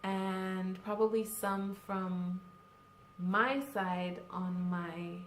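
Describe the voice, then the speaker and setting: A woman's voice: mid-range voice, fairly even intonation, extremely slow, roomy sound, slight background noise. A young woman from Cameroon, chatting casually to camera with lots of "like" and "you know". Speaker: vlogger. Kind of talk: casual vlog chat.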